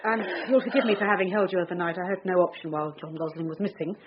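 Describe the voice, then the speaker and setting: An actress playing a probing, wry police detective in a British radio drama, with a British accent. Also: female voice, lowish voice, fast talker, highs cut off, very noisy, close to an everyday voice. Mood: brisk, businesslike.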